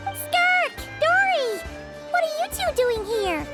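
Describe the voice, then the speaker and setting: An extremely high, squeaky little fairy. The delivery swings up and down in animated, unhurried phrases, chirpy and inquisitive like a video game sidekick. Music plays behind. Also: female voice, full character voice. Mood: excited, surprised. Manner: squeaky, speaks of self in third person. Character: small childlike fairy companion, chirpy and inquisitive.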